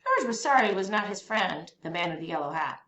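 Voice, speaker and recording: A woman reading a children's picture book aloud as a storyteller, with expressive, deliberate phrasing for young listeners. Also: lowish voice. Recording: roomy echo, faint background noise.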